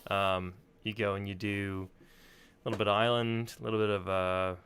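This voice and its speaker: A man's voice: on the low side, level tone, moderate pace, fairly bright tone, slight background noise. A man telling anecdotes in casual chat, rambling informally and swearing freely. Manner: informal, profane, rambling storyteller.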